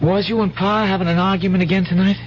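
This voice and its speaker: An actor playing an earnest, protective teenage country son in a 1970s radio drama, with hesitant rustic speech. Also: male voice, high-pitched, fairly even intonation, fast talker, close to an everyday voice. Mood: uneasy. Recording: muffled old radio broadcast, faint hum.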